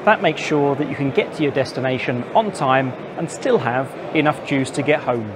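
A man in his forties presenting to camera with a lapel mic in a busy indoor trade show hall, giving clear, informative reports. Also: medium pitch, normal speed. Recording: noisy trade show floor.

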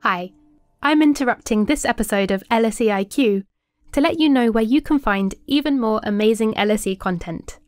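A woman with a slightly high voice reads a scripted promotional announcement. The sound is dry, without room echo, as a podcast announcer would have it. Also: normal speed, faint music behind.

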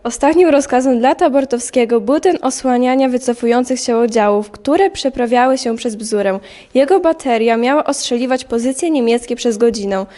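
A young woman narrates a historical audio drama from a script. Her voice sits fairly high as she tells the story. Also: normal speed, few pauses, dark tone.

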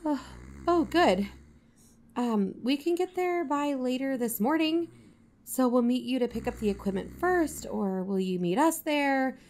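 An actress playing an earnest investigator who hosts a mystery podcast in an audio drama. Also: female voice, high voice, expressive, unhurried, a little mellow, a little hiss or hum. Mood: startled, flustered. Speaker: podcast host character and investigator. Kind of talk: audio drama narration and dialogue.

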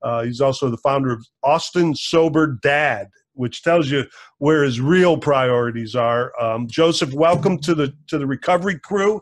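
An older man in his sixties hosts a casual interview over a video call from his home. He talks in a halting way, full of fillers and restarts, at an even middling pitch. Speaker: podcast host. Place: home room.

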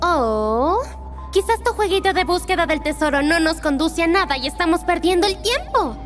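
A woman's voice: very high voice, animated, normal speed, faint music behind. A woman playing a mean, mocking killer robot in a cartoon fan dub, sarcastic, with a Latin American Spanish accent. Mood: taunting. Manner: taunting, sarcastic. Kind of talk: animated fan dub acting.

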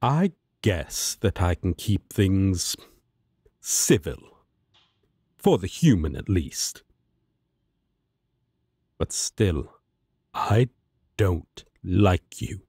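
A man's voice: low voice, lively intonation, extremely slow, pauses often, mellow, husky, no echo, studio quality, full character voice. A man playing a haughty, protective angel in an audio drama, speaking in a formal, wary, disdainful manner. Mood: grudging. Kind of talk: roleplay audio drama acting.

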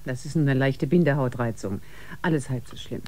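A humane spaceship doctor in a sci-fi radio play. The voice is low and mellow, with a calm, matter-of-fact delivery. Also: female voice, a little fast. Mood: calm, soothing. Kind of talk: sci-fi radio drama acting.

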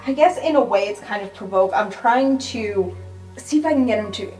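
A woman's voice: medium pitch, animated, moderate pace, darkish tone, faint music behind, low-quality recording. A woman chatting casually as a player in an improvised roleplay game, thinking aloud with some hesitation.

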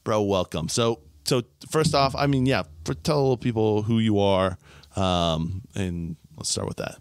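Upbeat chatty low-voiced podcast host. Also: male voice, measured pace, smooth, no room sound, studio quality. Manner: chatty, upbeat, frequent laughs and "bro". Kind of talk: casual conversational interview. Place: small home podcast studio. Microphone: studio mic on boom arm, headphones.

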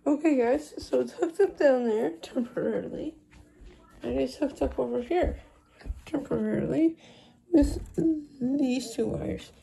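High, very creaky-voiced woman. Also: measured pace, warm tone, poor audio. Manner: informal, explaining while handling gear. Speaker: video host showing a phone system project. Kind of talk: casual hands-on tech vlog, talking off the cuff. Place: small indoor room with wooden floor. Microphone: handheld phone camera, moving around.

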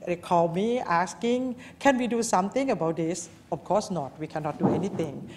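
A woman's voice: on the low side, normal speed, darkish tone. A woman from Thailand, giving a live architecture lecture at a lectern, speaking informally with many fillers and restarts.

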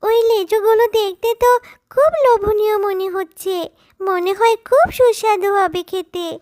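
A woman's voice: extremely high pitch, fairly even intonation, normal speed, a little mellow, no room sound, studio quality. A woman voicing a sweet, eager little fox girl who coaxes and begs in a childlike way in a children's cartoon. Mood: eager, begging. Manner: childlike, begging and coaxing. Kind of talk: Bengali children's cartoon dubbing.

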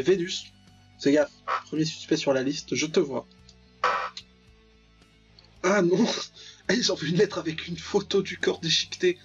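A man's voice: a little high, breathy edge, highs cut off. A man giving casual, joking commentary on a visual novel, ironically paraphrasing and reacting aloud as he plays. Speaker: let's player, channel host.

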